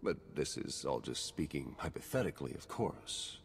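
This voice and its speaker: Wry male spy for a game cutscene: deep voice, dry and cynical, long reverb over noisy ambience. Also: expressive, moderate pace, creaky, full character voice. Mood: conspiratorial. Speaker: intelligence agent character. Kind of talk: video game cutscene acting.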